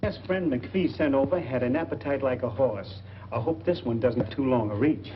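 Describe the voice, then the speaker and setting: An actor playing a nosy, chatty small-town boarder with an American accent, in 1940s film style. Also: male voice, mid-range voice, normal speed, close to an everyday voice. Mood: genial. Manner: chatty, inquisitive. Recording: muffled, faintly noisy old film soundtrack.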